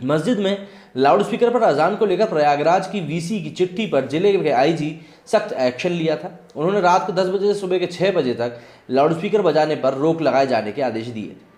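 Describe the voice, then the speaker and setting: A man's voice: on the high side, animated, moderate pace, darkish tone. A man presenting news with his own commentary, explaining things earnestly and directly to viewers. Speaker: host of a news commentary channel. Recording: echoey, reverberant room.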